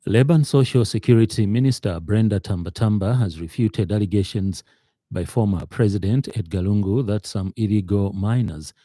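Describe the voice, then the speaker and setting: A Zambian man reads a formal radio news bulletin. His voice is very dark and mellow, fairly low, and recorded dry and close in a studio. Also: no room sound. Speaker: news reader.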